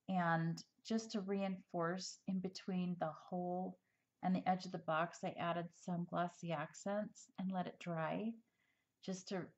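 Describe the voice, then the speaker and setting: A woman giving a casual craft tutorial at a table, chatty and rambling with frequent ums, slightly hoarse as she loses her voice. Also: on the low side. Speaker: crafter showing a handmade project. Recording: dull, lo-fi home recording.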